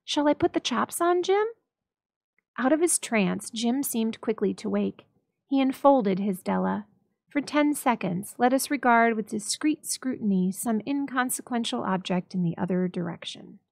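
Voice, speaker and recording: A woman narrating a story dramatically, with expressive, measured storytelling. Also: a little high, vocal fry, no room sound. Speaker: library staff story reader.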